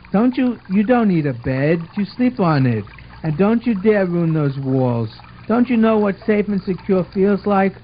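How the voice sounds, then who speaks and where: Man as twelve-year-old boy, puppet show, earnest, explanatory, raised pitch, muffled recording with noise. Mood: scolding. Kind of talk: scripted puppet show dialogue.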